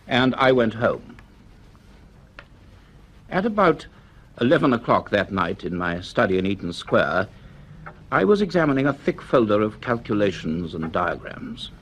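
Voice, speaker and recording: An actor playing a grave, cultured middle-aged professor in a vintage radio drama, speaking in a measured, dramatic way. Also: male voice, mid-range voice. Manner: measured, deliberate, dramatic delivery. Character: grave, cultured male professor narrating his dreadful discovery.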